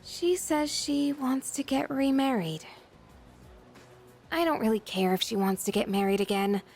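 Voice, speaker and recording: A woman's voice: on the high side, animated, a little slow, a little mellow. A woman playing a proud, guarded, independent teenage girl in an anime-style video game, speaking in a frank, firm way. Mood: bitter, subdued. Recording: music behind the voice.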